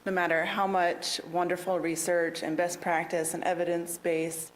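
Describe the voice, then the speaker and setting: A woman's voice: lowish voice, flat delivery, normal speed, a little hiss or hum, low-quality recording. A woman who is a task force member, speaking earnestly and easily during a council work session presentation. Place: council meeting room.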